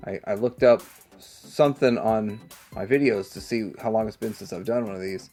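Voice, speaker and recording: A man's voice: on the low side, steady tone, moderate pace, a little mellow. Casual man reviewing toys over music. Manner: rambling, thinking aloud as he handles things. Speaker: toy review channel host. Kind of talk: casual hands-on product review.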